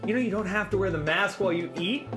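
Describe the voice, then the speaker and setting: An actress playing an admiring, flirtatious city mayor in an audio drama, with effusive and theatrical phrasing. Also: female voice, mid-range voice, rapid-fire, music in the background, close to an everyday voice. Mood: admiring, teasing.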